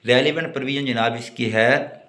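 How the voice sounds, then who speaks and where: A man's voice: mid-range voice, steady tone, moderate pace, pushed and bright. A man with a Pakistani accent giving a law lecture, reading notes aloud and explaining them in a didactic way.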